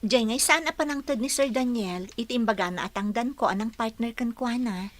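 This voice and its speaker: A woman's voice: on the high side, fairly quick, close to an everyday voice. A woman acting as an earnest young office employee in an Ilocano radio drama, with an Ilocano accent. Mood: confiding, troubled.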